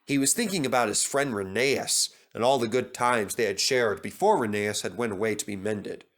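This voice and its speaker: A man's voice: on the low side, moderate pace, fairly bright tone. A man reading a scripted children's story as narrator.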